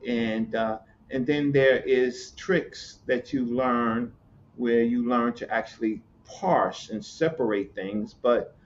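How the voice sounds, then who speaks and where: A man from Virginia, explaining hieroglyphs in casual chat, halting, with many fillers and repeated words. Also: mid-range voice, fairly even intonation, a little hiss or hum. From Newport News, Virginia, USA. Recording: muffled lo-fi audio with faint ambience.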